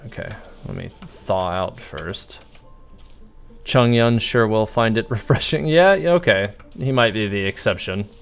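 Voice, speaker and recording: A man's voice: fairly low pitch, animated, a little slow, gravelly, a bit bright, clear, resonant tone. A man giving casual, easygoing live game commentary as a streamer, thinking aloud as he plays. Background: game music.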